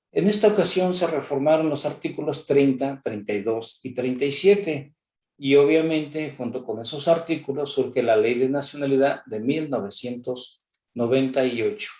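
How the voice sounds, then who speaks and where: An older man with a Mexican accent delivers a conference lecture in a mellow, warm voice. He keeps it animated, throwing out rhetorical questions and talking straight at an imagined lawmaker. The recording has a roomy echo. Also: medium pitch. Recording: echoey room.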